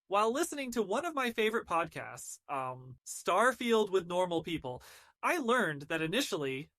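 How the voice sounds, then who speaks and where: A woman presents scripted video narration about a game. Her pitch moves in a lively way, and her phrasing is polished and articulate. The recording is dry and close. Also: no echo.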